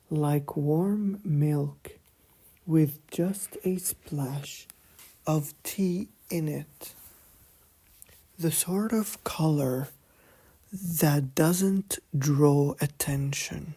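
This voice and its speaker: Female bedtime narrator: soft, breathy near-whisper, dark tone, soothing monotone, unhurried pauses. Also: low voice. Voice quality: soft, breathy, often slipping toward a whisper. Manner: calm, monotone, hushed, with long pauses. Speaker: sleep story narrator. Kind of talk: slow soothing bedtime sleep story narration.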